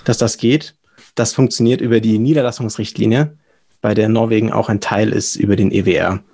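Husky, creaky young German man. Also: lowish voice, fairly even intonation, brisk, a little mellow. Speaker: podcast guest, lawyer. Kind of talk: casual explanatory interview talk.

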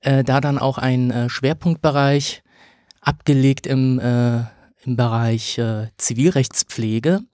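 A man in his thirties, a lawyer answering interview questions in a hesitant way, with many filler sounds and pauses. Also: mid-range voice, fairly even intonation, a little mellow, no echo, clean studio sound. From Germany. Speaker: podcast guest, lawyer.

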